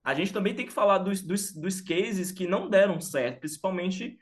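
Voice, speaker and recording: A man's voice: sits high, animated, moderate pace. A man from Salvador, co-hosting a casual sports podcast and talking with many fillers and restarts. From Salvador, Bahia, Brazil. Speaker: podcast co-host.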